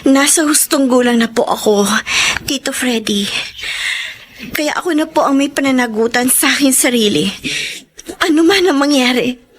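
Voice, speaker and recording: Woman acting high-voiced anxious young Filipino woman. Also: moderate pace, pauses often, close to an everyday voice. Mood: defiant. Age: young adult. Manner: hesitant, stammering, polite. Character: anxious, evasive young woman hiding a secret. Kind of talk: Filipino radio drama acting.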